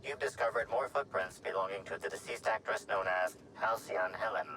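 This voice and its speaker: A man voicing a literal-minded investigative machine in a video game, speaking in clipped, formal, deadpan phrases. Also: on the low side, brisk, heavy background noise, natural voice. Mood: clinical. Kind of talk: video game character acting. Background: music.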